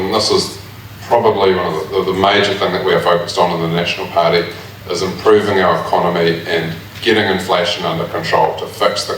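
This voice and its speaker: A New man with a deep, flat voice answers a question at a candidates' forum, measured and full of hesitations. He sounds far off in a strongly echoing hall with faint noise. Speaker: election candidate.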